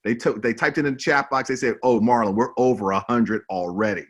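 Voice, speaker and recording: A man's voice: medium pitch, fairly quick, fairly bright tone. A man moderating an online panel in an upbeat, effusive and encouraging way, speaking over a video call.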